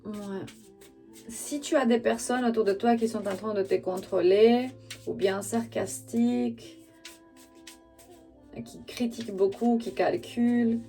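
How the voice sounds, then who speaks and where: A woman who is not a native French speaker gives an intuitive tarot reading straight to the listener, halting as she searches for words. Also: medium pitch, gravelly, dark tone. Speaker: spiritual tarot reader and channel host.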